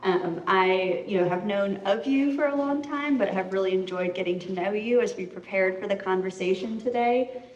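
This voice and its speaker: A woman hosting a live community interview asks questions in a friendly, relaxed way and uses lots of fillers. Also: mid-range voice, normal speed, highs cut off. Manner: friendly, relaxed, many fillers like you know. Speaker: interviewer, series host. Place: community center event room. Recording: echoey room, far from mic.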